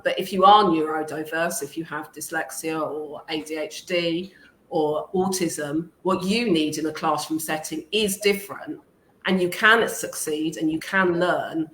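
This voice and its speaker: A woman's voice: low-pitched, fairly even intonation, measured pace, a bit bright. A woman from England, in her fifties, speaking as an interview guest and teacher, thoughtful and hesitant with many ums. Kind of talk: casual interview talk. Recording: echoey room with faint noise.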